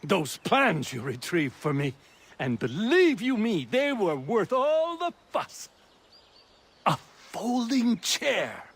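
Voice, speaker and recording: An actor playing an excitable, boastful colonial inventor in a video game, with a husky voice and theatrical, drawn-out emphasis. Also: male voice, high-pitched, full character voice. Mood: excited, proud. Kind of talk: video game character acting.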